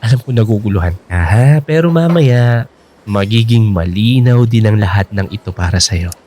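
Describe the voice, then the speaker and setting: A young man in a Filipino radio drama, a boyfriend hiding a plan. His pitch swings widely as he teases and coaxes. He is expressive, reassuring and ready to stand his ground. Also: moderate pace. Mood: coaxing, reassuring.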